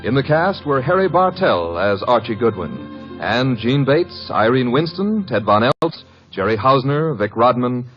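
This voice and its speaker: A man reading scripted credits and promotions as a polished announcer in old-time American network radio style. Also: mid-range voice. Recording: muffled old radio broadcast with music.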